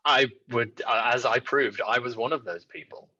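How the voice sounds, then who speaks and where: Nasal guy, bright forceful tone, muffled lo-fi audio. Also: mid-range voice, normal speed. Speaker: podcast co-host. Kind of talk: casual chat.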